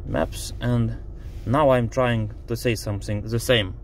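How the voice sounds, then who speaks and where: A man with a Lithuanian accent talks slowly and haltingly, filling his pauses with "uh" as he voices frustration. He is recording inside a car. A faint vehicle hum sits under his voice. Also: mid-range voice. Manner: frustrated, halting, many fillers. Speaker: driver demonstrating a car app. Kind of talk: casual complaint video.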